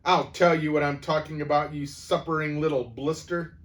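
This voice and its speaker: A man voicing a furious, bullying headmistress who barks and booms insults at a child. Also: steady tone, normal speed, fairly bright tone, natural voice. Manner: booming, barking insults. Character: bullying hulking headmistress, woman, furious and cruel.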